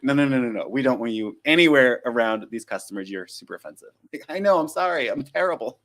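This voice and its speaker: A man's voice: medium pitch, expressive, fast talker, a bit bright. Chatty self-mocking American man. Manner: chatty, self-deprecating, digresses and acts out quotes. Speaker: flight attendant and stream host. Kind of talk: casual live-stream Q&A.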